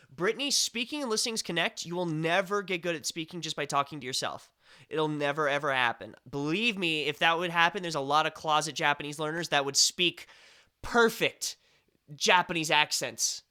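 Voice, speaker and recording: Chatty young American stream host at home, bright, slightly higher voice, rambling delivery. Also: male voice, clean tone. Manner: rambling, chatty, with many fillers and restarts. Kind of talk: casual chat.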